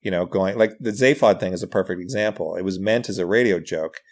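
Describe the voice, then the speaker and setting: A man with a notably low-pitched voice speaks as a guest on a podcast. He talks in a casual, conversational way, telling anecdotes as he goes. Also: a little fast. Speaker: podcast guest, writer and comedian.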